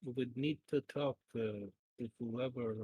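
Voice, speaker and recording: A man with a husky voice, a player casually reasoning through a tabletop game in a deliberate way. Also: mid-range voice, steady tone, highs cut off.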